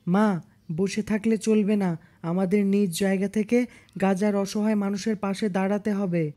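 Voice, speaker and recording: A woman's voice: medium pitch, little pitch movement, moderate pace, dark tone, close to an everyday voice. A woman voicing an earnest, devout young boy in a cartoon, exhorting others in a preachy way. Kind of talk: cartoon dialogue.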